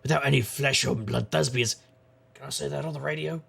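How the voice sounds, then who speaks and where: An actor playing a jaded, wisecracking paranormal investigator, narrating an audio drama in a wry, rambling, noir style. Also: male voice, mid-range voice, brisk, fairly bright tone, heavily acted. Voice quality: gravelly. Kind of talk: scripted noir-style first-person narration.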